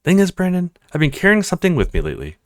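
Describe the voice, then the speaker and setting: A man's voice: sits high, huge pitch swings, racing, no room sound, clean studio sound, pitched above the performer's own voice, exaggerated voice. A man voicing a petite young woman in a visual novel, earnest, insecure and blunt in her complaints. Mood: earnest, hesitant.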